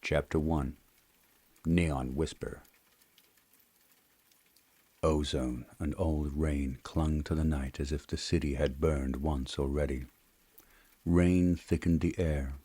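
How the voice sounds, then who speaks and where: A man with a husky voice narrating a novel as a scripted audiobook reading. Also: extremely low pitch, fairly even intonation, takes it slowly, long pauses, dark tone, dry recording.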